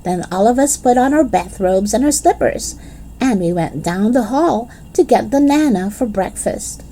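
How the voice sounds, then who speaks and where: A woman narrates a children's book expressively in a mellow, soft-edged voice. Her pace is unhurried and her pitch is very animated, with some noise behind her. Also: low-quality recording. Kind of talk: expressive scripted storybook narration.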